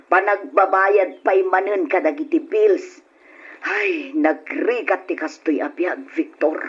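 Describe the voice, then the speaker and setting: A woman acting in an old radio drama as a nagging, exasperated wife with a husky voice. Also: on the low side, big pitch swings, takes it slowly, lo-fi sound, full character voice. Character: fed-up, scolding wife of a shiftless husband.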